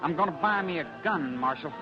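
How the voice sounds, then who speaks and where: An actor playing a proud, stubborn sixteen-year-old cowboy in a radio Western, with terse, folksy frontier talk. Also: male voice, fairly high pitch, expressive, a little fast, close to an everyday voice. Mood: defiant. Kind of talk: 1950s radio Western acting. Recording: dull vintage radio recording with music.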